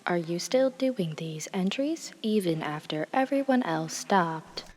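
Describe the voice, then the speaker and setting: A woman's voice: on the low side, lively intonation, unhurried, darkish tone. A woman playing a composed, dryly teasing ship's nurse with a formal manner in an audio drama, in hollow reverb. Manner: formal, dry.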